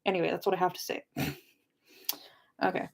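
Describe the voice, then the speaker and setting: A woman's voice: fairly low pitch, level tone, moderate pace, creaky. Casual, rambling young woman. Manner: informal, rambling, digressive. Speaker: livestream host of a book discussion. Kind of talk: casual chat with live viewers.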